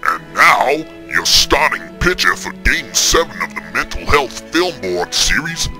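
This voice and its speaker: An actor playing a mock-grand, wisecracking heckler riffing over an old film, with music underneath. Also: male voice, mid-range voice, big pitch swings, unhurried, pushed and bright, full character voice.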